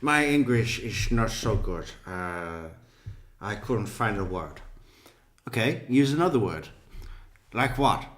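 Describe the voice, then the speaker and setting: Brief: low-voiced, slow-talking male commentator, sardonic and mocking. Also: very animated, fairly bright tone. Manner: sardonic, joking, swears. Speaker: true crime commentator. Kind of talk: casual commentary over an interrogation video.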